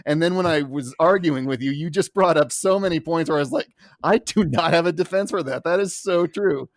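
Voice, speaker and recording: A man's voice: fairly high pitch, brisk, dry recording. A man playing defense on a comedy mock-trial podcast, in casual talk.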